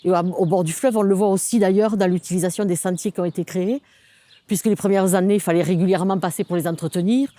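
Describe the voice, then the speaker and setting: A woman's voice: on the low side, steady tone, quick pace, warm tone, no room sound. A woman speaking as a local government project officer in an explanatory interview, feeling her way through phrases with some repetition.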